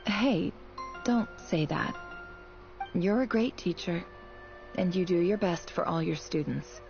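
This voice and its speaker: An actress playing a guarded, wry young female student in a video game, with a measured, dry way of talking. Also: on the low side, creaky, a little mellow, muffled recording, faint music behind. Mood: earnest, bitter.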